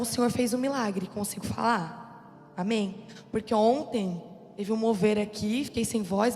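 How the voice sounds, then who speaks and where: Hoarse young woman preaching in an echoey hall. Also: fairly high pitch, a little mellow. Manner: conversational, calls for amens. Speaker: youth group preacher. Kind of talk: sermon. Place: church youth service hall.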